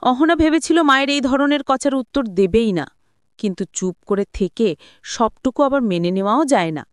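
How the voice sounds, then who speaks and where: A woman's voice: on the high side, animated, moderate pace, clear, resonant tone, no echo, clean studio sound. A woman storyteller reading a dramatised audio story as scripted narration.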